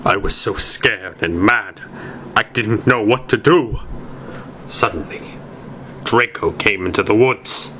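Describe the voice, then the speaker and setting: Slow, deliberate male story narrator. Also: lowish voice, sharp tone, slight background noise. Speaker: narrator reading fan fiction aloud. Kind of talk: dramatic amateur story reading.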